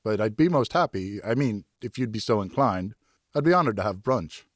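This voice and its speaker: A man voicing a courteous, self-conscious French gentleman in an audiobook, formal, earnest and slightly flustered. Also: fairly low pitch, expressive, normal speed, no echo, studio quality. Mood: polite, earnest. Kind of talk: audiobook character dialogue.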